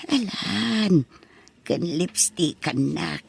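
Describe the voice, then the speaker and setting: Slow, raspy elderly Ilocano mother played by a woman. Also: on the low side, expressive, heavily acted. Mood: muddled, insistent. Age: older. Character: confused elderly mother fixated on putting on makeup. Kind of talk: comedy audio drama acting.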